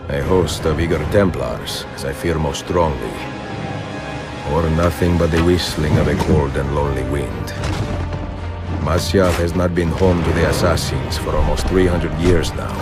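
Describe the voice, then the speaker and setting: An actor playing a thoughtful, middle-aged veteran assassin, narrating in a measured, solemn way for a video game. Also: male voice, a bass voice. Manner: measured, grave, reflective.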